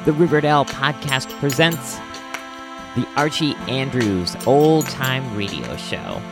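A man's voice: mid-range voice, lively intonation, slow, over music. A man hosting a podcast in a casual, enthusiastic, chatty way. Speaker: podcast host.

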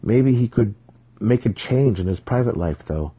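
A man's voice: fairly low pitch, animated, moderate pace, slightly rough, dry recording, dull audio. A man narrating a fantasy audiobook in a dramatic storytelling style.

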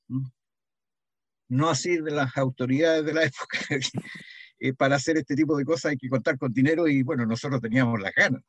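An older man with a Chilean accent reminisces in a conversational interview, pausing and repeating words, often adding a short questioning "mm". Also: medium pitch, no echo, muffled recording. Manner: hesitant, frequent "¿m?" tags and repeated words. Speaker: interviewee, heritage association founding member.

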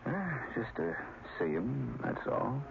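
Folksy small-town sheriff, muffled radio. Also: male voice, mid-range voice, expressive, slow, gravelly, faint music behind, exaggerated voice. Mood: uneasy. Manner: plain-spoken, hesitant, folksy. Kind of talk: 1940s radio drama acting. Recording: dull, hissy old radio recording.

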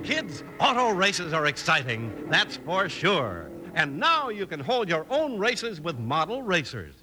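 A man's voice: sing-song, a little slow, over loud music. A man announcing and narrating in an emphatic, enthusiastic mid-century radio style. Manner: emphatic, enthusiastic pitchman delivery. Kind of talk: 1950s radio announcing, scripted. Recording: faintly hissy old radio recording.

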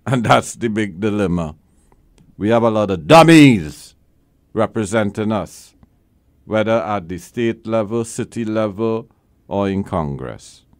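A man's voice: on the low side, animated, a little slow, dry recording, clean studio sound. A man hosting a call-in legal advice show as a lawyer, talking bluntly and with emphasis, repeating his key points.

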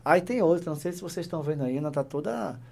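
A middle-aged man hosting a casual live podcast, speaking haltingly with many fillers and joking asides. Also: on the high side, animated, gravelly, a little mellow. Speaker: podcast host, insurance team leader.

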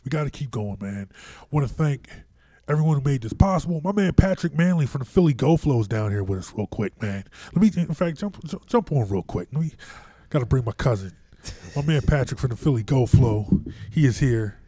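A man, hosting a casual sports podcast, relaxed, often repeating and restarting words. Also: a little fast, dry recording. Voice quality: deep voice. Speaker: podcast host. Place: on location in a room.

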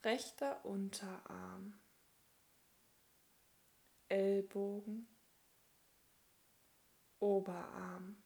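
A woman leading a guided yoga relaxation as instructor, speaking calmly and soothingly in short phrases with long pauses. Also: medium pitch, creaky.

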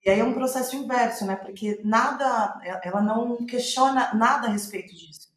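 A Brazilian woman, a playwright in a reflective podcast interview, thinking aloud in a halting, thoughtful way. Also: fairly high pitch. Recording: roomy, echoing sound.

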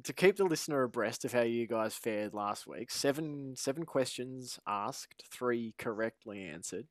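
An Australian man hosts a casual fan podcast as its quizmaster. His voice sits at an average pitch in a very dry, close recording. He phrases things in a wordy, mock-formal manner. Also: expressive, normal speed, no echo.

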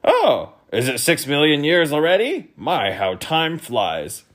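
A man's voice: lowish voice, flat delivery. A man voicing a gloomy, suspicious police officer who mutters his words. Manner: muttering, then loud and brisk.

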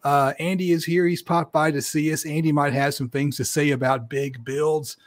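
Chatty host with a scratchy voice. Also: male voice, a little high, level tone, normal speed, no room sound. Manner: chatty, rambling, self-deprecating. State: scratchy, strained voice. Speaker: podcast host. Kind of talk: casual live-stream chat.

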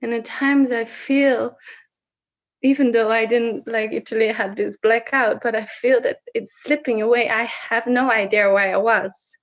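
A woman from the Netherlands with a Dutch accent, sharing personal reflections on a video call in a slow, searching way with frequent restarts. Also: a little high, steady tone, lo-fi sound. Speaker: session facilitator.

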